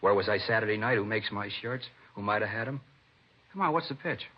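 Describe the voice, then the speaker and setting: Quick, husky defensive male suspect. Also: fairly low pitch. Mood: defensive, indignant. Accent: American. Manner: conversational, indignant when pressed. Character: defensive, talkative male civilian under police questioning. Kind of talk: 1950s radio drama acting. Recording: dull vintage radio recording.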